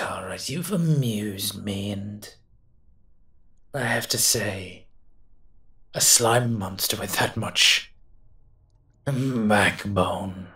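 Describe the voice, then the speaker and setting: A man's voice: lowish voice, creaky, fairly bright tone, exaggerated voice. A man playing a cocky, menacing monster detective who protects a child in a roleplay audio drama, with taunting threats that drop into breathiness. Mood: amused, menacing.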